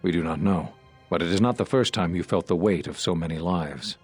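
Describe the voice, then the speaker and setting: An actor playing a solemn, regretful Jedi master in a video game, speaking in a measured, sombre and earnest way. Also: male voice, deep voice, breathy edge, faint music behind. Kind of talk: dramatic video game dialogue.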